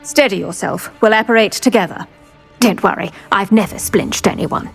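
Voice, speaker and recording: A woman playing a stern, protective retired magical law enforcer in a video game, blunt, brisk and assured. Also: medium pitch, vocal fry, slightly rough, soft background music. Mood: brisk, commanding. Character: stern, protective older woman, retired dark-wizard hunter. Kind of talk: video game character acting.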